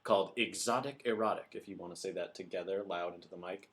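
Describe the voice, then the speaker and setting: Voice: sits low, quick pace. A podcast host leading a casual book review chat in a joking, irreverent way.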